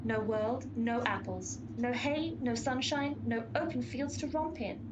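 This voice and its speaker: Pestilence's horse, acted by a woman: scheming, grandiose radio-comedy schemer, highish voice, noisy soundscape behind. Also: measured pace, highs cut off, natural voice. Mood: coolly persuasive. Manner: grandiose, silky, persuasive. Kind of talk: comic radio play acting.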